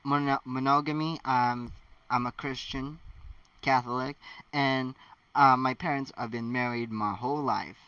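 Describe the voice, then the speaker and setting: A man reading stories aloud casually, with chatty, halting asides of his own. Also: mid-range voice, fairly even intonation, bright, forceful tone, clear, resonant tone, dry recording, recorded from a distance, highs cut off. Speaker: amateur fan fiction narrator. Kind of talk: casual read-aloud with rambling personal commentary.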